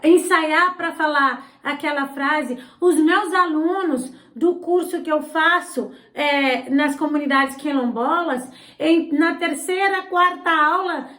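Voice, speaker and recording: Non-native woman host with a very high voice, indignant and sarcastic, recorded in an echoey room. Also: slow, few pauses, a bit bright. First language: not Portuguese. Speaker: channel host, political commentator. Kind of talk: opinionated political commentary. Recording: roomy echo.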